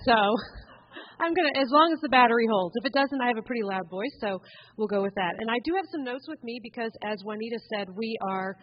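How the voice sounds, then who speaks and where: A woman with a somewhat high voice speaks into a handheld mic at a conference and draws the crowd in with a steady "right?". The sound is slightly dull and lo-fi. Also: brisk. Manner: chatty, upbeat, coaxing the crowd with "right?". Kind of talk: interactive talk to a seated audience. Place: conference hall.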